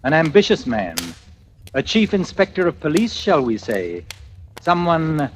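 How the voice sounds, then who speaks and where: An actor playing a smoothly menacing, delusional killer in a 1940s radio suspense play, speaking with deliberate, insinuating theatricality. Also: male voice, on the high side, sing-song. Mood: insinuating menace. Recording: noisy vintage radio recording with clicks.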